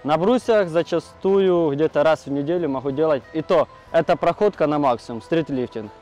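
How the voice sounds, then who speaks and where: A man's voice: fairly high pitch, fairly quick, a little mellow, soft background music. A man, an athlete, answering questions outdoors in short, matter-of-fact replies. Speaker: athlete being interviewed. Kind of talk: casual chat.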